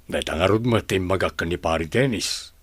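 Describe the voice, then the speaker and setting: A village family friend with a very deep voice. He is a gossipy type, played in the manner of radio drama. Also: a little fast, husky, no room sound. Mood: curious, gossipy. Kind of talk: Ilocano radio drama acting.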